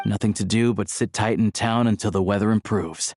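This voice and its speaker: A man's voice: fairly low pitch, steady tone, fairly quick, darkish tone, faint music behind, close to an everyday voice. A man voicing an easygoing, friendly young hunter in a video game, with a casual, wry manner. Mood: resigned.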